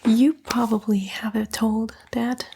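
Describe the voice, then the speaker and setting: A woman voicing a smug, seductive female demon, a fallen angel, in a breathy, coaxing ASMR roleplay whisper. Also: fairly high pitch, level tone, mellow, slight background noise. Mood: coaxing, scornful. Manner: slow, coaxing, taunting, drawn-out whisper.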